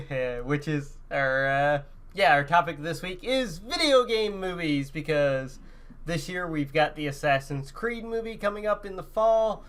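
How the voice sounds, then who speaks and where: A man's voice: on the high side, animated, a little slow, a bit bright. A man co-hosting a podcast, chatting casually and informally about games and films. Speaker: podcast co-host. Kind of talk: casual chat about video games and movies.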